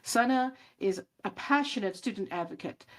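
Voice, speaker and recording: A woman hosting an interview talk show, giving a measured, formal introduction of her guest and asking questions. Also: medium pitch, lively intonation.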